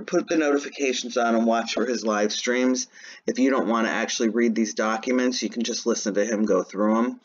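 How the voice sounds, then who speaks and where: A man's voice: medium pitch, lo-fi sound. A man hosting his own channel, talking casually through a screen-share walkthrough in a chatty, rambling way. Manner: chatty and rambling, frequent "um" and "anyways". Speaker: channel host.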